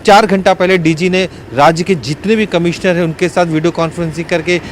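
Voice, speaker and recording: Guy reporting live from outside, highish voice, kinda halting, bit of street noise behind. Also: steady tone. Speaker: field news reporter. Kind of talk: live unscripted news report. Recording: faint outdoor street and traffic noise.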